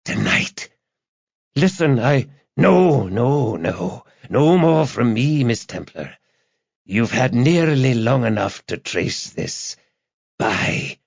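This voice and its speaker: A man voicing a crazed, menacing kidnapper on the telephone in an audiobook, with a hissing, gasping rasp and a taunting, gloating manner. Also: medium pitch, very animated, slow pace, darkish tone, dry recording, dull audio, pitched above the performer's own voice, heavily acted. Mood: agitated threatening. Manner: gloating, sneering, repetitive.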